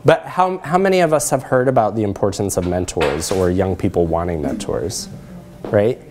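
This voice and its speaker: A man in his thirties giving a lecture and discussion, measured, often posing rhetorical questions to his listeners. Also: lowish voice, lively intonation, noisy background. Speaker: presenter, social work researcher. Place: lecture room, at the front by a screen.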